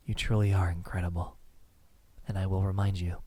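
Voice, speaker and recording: A man playing a devoted, courtly vampire lover in an anime-style ASMR roleplay, speaking softly, intimately and formally. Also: deep voice, flat delivery, background noise, close to an everyday voice. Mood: gentle admiration. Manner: soft, slow, intimate, formal and courtly phrasing. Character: devoted adult male vampire, courtly, tender, possessive lover.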